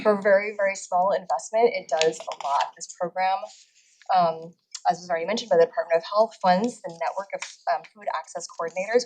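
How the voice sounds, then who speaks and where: A woman's voice: fairly low pitch, a little mellow, poor audio. A woman speaking for an advocacy group at a legislative hearing, earnest, with many fillers. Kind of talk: formal public testimony.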